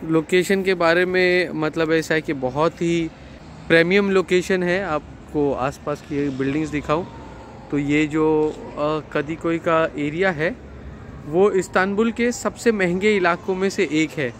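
A man in his thirties giving a casual walkthrough of an apartment, talking slowly and hesitantly with many fillers, filmed on a handheld phone. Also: on the high side, steady tone, slight background noise. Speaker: property tour guide. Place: terrace and empty apartment rooms outdoors and indoors. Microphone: phone camera held at arm's length.

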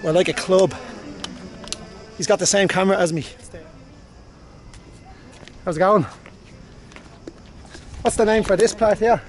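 A friendly Irish man in his forties rambles chattily to a handheld camera on an open hilltop. His voice sits a touch high. Music plays clearly in the background. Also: a little slow, long pauses. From Ireland. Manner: chatty, friendly, thinking aloud. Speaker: travel vlogger. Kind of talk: casual travel vlog. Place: outdoors at a hilltop ancient site. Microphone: handheld camera on a gimbal.

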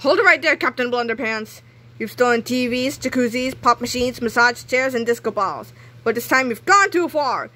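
A woman's voice: fairly high pitch, expressive, moderate pace, bright, forceful tone, pitched above the performer's own voice. A woman voicing an indignant comic-book policeman with an emphatic, scolding delivery.

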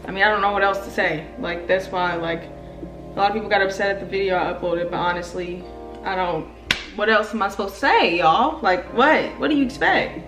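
A woman's voice: mid-range voice, fairly quick, roomy sound, distant mic, over music, low-quality recording. A young woman in her twenties answering fan questions in a casual vlog, chatty and blunt, playful, with slang and swearing. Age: 20s. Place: indoor room near stairs. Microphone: camera mic, filmed from below.

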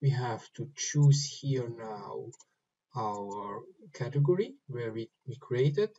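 A man giving step-by-step instructions for a software screen recording, speaking in short, halting phrases. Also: mid-range voice, expressive, dull audio. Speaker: software tutorial presenter. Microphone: voice-over recorded over screen capture.

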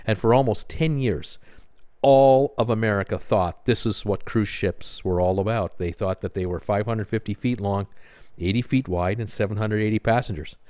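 A man's voice: on the low side, darkish tone, no echo. An older man narrating a casual cruise vlog over photos, rambling with many fillers and a wistful tone. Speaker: cruise vlogger.

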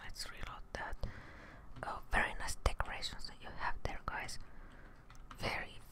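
A woman whispering ASMR gameplay commentary close to the mic in murmured asides, often repeating words. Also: moderate pace. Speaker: gaming streamer. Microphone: close microphone in front of her, headphones on.

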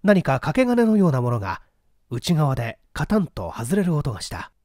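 A man's voice: on the high side, very animated, moderate pace, a little mellow, dry recording. Male narrator in a dry recording. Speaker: audiobook narrator. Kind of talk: dramatic scripted narration of an old mystery novel.

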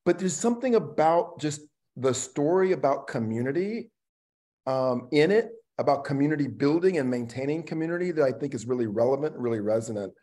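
Halting, reflective middle-aged man. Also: a little high, expressive, dark tone. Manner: halting, reflective, trailing phrases. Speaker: actor and theatre artistic director. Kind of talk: casual panel conversation. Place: indoor room at home, video call. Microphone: video call microphone.

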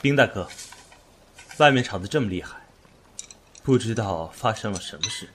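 Man acting a low, shrewd deposed emperor. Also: lively intonation, moderate pace, fairly bright tone, a little hiss or hum. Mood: probing. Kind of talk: Chinese period audio drama acting.

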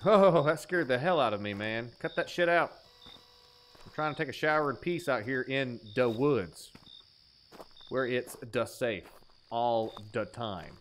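A man's voice: mid-range voice, lively intonation, a little slow, fairly bright tone, slight background noise. A man in his thirties giving crude, playful, exaggerated joking commentary as he streams a horror game.

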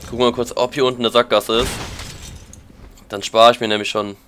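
German man with a bright, cold-tinged voice. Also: mid-range voice, flat delivery, fairly quick, slight background noise. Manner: chatty, thinking aloud while playing. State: has a lingering cold. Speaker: let's play commentator. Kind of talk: casual gameplay commentary.

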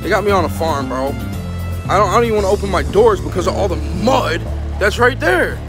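A man's voice: high-pitched, lively intonation, fairly quick, music in the background. A man in his thirties, a truck driver talking to his phone at arm's length, exasperated and chatty, often saying bro. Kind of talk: casual vlog to camera. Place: outdoors at farms and loading docks, and in a truck cab. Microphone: phone held at arm's length.

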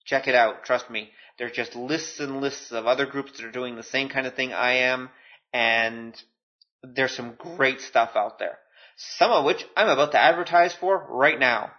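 A man's voice: mid-range voice, moderate pace, muffled recording. A man hosting a podcast solo, talking informally with self-deprecating, joking asides. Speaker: podcast host. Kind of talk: casual solo podcast talk.

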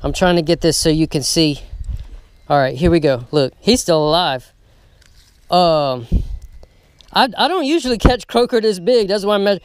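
A man hosting a fishing vlog outdoors, talking to viewers in an excited, casual way as he fishes. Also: high-pitched, moderate pace, long pauses, no room sound. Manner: excited, talks straight to viewers. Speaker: fishing channel host. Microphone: handheld camera mic. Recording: outdoor camera audio, clear background noise.

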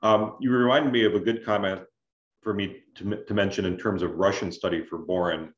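A man explaining things as an adviser in an online information session, in a measured, conversational way with check-in questions. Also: low voice, fairly even intonation. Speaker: scholarship adviser, presenter. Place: indoors on a video call. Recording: roomy, dull video-call audio.

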